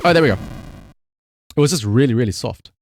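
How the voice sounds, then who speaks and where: A man doing casual livestream gaming commentary in an excitable, emphatic way, prone to shouting and swearing. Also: mid-range voice, brisk, no room sound, clean studio sound, faint music behind. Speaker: live streamer.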